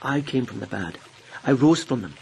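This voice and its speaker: A brooding lieutenant, husky voice, plain and wry. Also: male voice, mid-range voice, animated, normal speed, a little mellow, heavily acted. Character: rough-born police lieutenant, brooding and wry. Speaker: police lieutenant. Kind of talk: radio drama acting.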